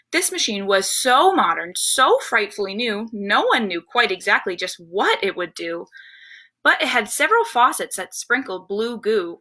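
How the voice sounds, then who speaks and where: Bright, forceful woman reading to children. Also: on the high side. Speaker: storyteller for children. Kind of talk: expressive read-aloud of a rhyming picture book.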